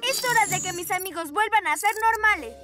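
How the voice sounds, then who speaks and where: A woman's voice: very high voice, fairly quick. A woman voicing an earnest, bold six-year-old girl superhero in a children's cartoon, emphatic, with a Latin American accent. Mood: determined. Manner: emphatic, determined delivery. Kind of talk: animated children's cartoon dubbing. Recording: music behind the voice.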